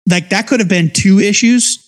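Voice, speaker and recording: A man's voice: high voice, moderate pace. An American man in his forties giving casual, conversational commentary as a podcast co-host. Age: 40s. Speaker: podcast co-host reviewing comics.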